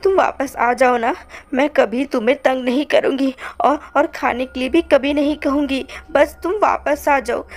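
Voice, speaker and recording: A woman's voice: high voice, fairly even intonation, normal speed, few pauses. A woman voicing a poor, clinging little village girl in a cartoon story, childlike and plaintive. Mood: tearful, lonely. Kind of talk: Hindi cartoon moral-story acting. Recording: music under the voice.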